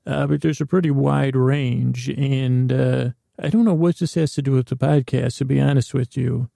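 A man hosting a podcast, delivering a meandering comic monologue with a gravelly voice, often interrupting himself and trailing off mid-thought. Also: medium pitch, steady tone, normal speed, warm tone, no room sound, studio quality. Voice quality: gravelly, creaky. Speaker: podcast host.

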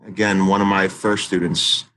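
A man's voice: low-pitched, little pitch movement, moderate pace, bright, forceful tone, low-quality recording. A man in his forties, a teacher, talking earnestly and reflectively in an interview, often restarting his sentences. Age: 40s. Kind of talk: interview conversation.

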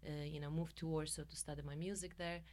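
A middle-aged woman with a Polish accent talks in a fairly low register. She is chatty and effusive, answering casually as a guest in an interview. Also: flat delivery, fast, a little mellow. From Poland. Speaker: podcast guest, musician and coach.

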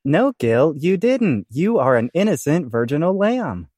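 Male narrator, animated intonation, slightly high pitch, scripted comedy drama, dry sound. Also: unhurried, no echo. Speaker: narrator and performer.

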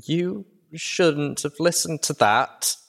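A man voicing a traumatized, volatile young female unicorn pony with a shrill, strained voice and stammering outbursts. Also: animated, slow, gravelly, a bit bright, heavily acted. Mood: cold.

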